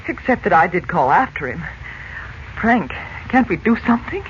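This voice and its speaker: A woman's voice: lowish voice, sing-song, moderate pace. An actress playing an anxious middle-aged mother in a 1940s radio drama, distressed over her missing husband. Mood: anxious, upset. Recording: muffled, faintly noisy 1940s radio recording.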